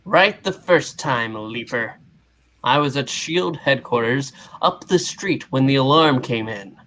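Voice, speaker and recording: A man's voice: medium pitch, expressive, a little slow, fairly bright tone, slight background noise. A man voicing a confident, wry superhero in a dry, quippy manner, reading a comic book aloud.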